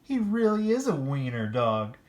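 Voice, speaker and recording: A storybook reader voicing a pack of mocking, cowardly dogs with drawn-out, exaggerated taunts and wails. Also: mid-range voice, sing-song, a little mellow, pitched above the performer's own voice.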